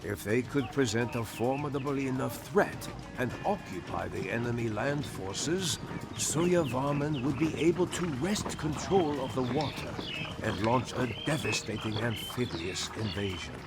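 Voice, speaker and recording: A man delivers scripted, dramatic narration for a historical strategy game. His medium-pitched voice moves with wide, animated intonation while keeping a measured storyteller's delivery. Music plays clearly behind him. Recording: narration over a music bed. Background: background music.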